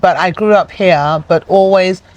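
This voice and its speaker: A woman's voice: fairly low pitch, unhurried, poor audio. Earnest woman from Nigeria, faint background hiss. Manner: plain, earnest, with many fillers and restarts. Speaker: interview guest, community project founder. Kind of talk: conversational interview.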